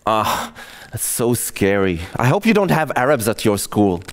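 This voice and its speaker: A man voicing an excitable teenage girl, chatty and quick to panic, in a solo comedy piece. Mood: gushing. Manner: high, excitable and gushing. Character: girl a little older than the son, chatty and nervous.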